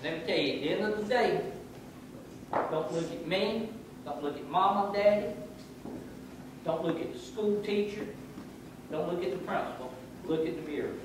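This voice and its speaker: A man's voice: sits high, normal speed, long pauses, noisy background. A man, giving a folksy, preacherly motivational talk to youth in a large wooden camp hall. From North Carolina. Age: 60s. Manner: folksy, preacherly, gesturing while pacing. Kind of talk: motivational speech. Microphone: far camera. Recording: echoey hall from far off.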